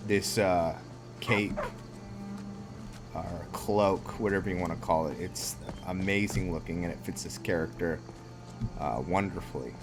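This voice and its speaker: A man's voice: low-pitched, a little slow, pauses often. A man giving casual gameplay commentary as host of a roleplay series, thinking aloud in a rambling, musing way that often trails off. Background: game music.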